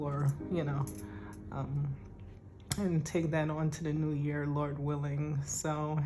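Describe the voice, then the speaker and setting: A woman vlogger with a weak, shaky voice, chatting casually and hesitantly about her budget. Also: deep voice. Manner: slow and soft-spoken, with hesitant ums and light laughs. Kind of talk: casual chat.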